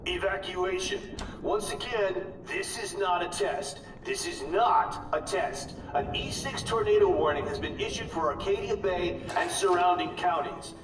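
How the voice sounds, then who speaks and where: An actor playing a formal American emergency broadcast announcer in a video game. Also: male voice, sits high, measured pace. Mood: official, grave. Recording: distant echoey public broadcast, clear noise.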